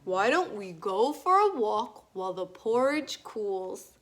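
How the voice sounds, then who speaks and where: A woman voicing a great big, hearty father bear from a storybook, slow and emphatic. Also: mid-range voice, big pitch swings, voice pushed down. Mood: reassuring.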